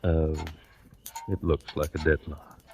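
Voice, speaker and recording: An actor playing a wealthy, shrewd and wary politician in a 1940s radio drama, speaking in a smooth, formal, measured way. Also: male voice, very deep voice, little pitch movement, a little mellow. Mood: shrewd, firm. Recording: noisy, crackly old radio recording.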